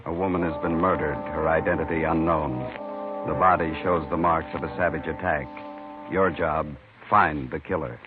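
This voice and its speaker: A very deep-voiced American man narrates gravely in vintage radio style. His delivery is measured and solemn, over loud music on a narrow, tinny recording. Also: fairly even intonation. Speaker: radio announcer.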